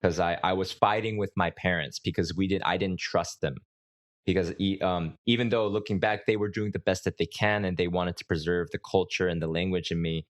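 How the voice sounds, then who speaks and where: A man with a very low voice hosts a casual conversation. He thinks aloud, circling back and restarting his sentences. The sound is dry and close. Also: fairly even intonation, brisk, no echo. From United States. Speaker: podcast host.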